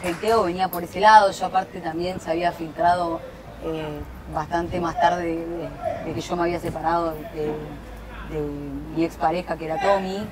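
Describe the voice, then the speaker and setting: A woman's voice: fairly low pitch, flat delivery, moderate pace, over a noisy crowd, low-quality recording. A young woman in her twenties with an Argentine accent, chatting casually as a guest on an outdoor terrace, full of fillers and restarts. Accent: Argentine Spanish. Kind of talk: casual chat. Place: outdoor terrace, seated at a table. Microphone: lapel.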